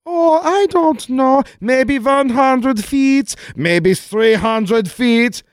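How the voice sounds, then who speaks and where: An actor playing a fussy, scheming German villain in a comic 1940s-style radio play, with a German accent and theatrical, long-winded gloating. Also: male voice, extremely high pitch, drawn-out words, clean tone, no echo, clean studio sound, exaggerated voice. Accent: put-on German. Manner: theatrical, hesitant, over-explaining gloating.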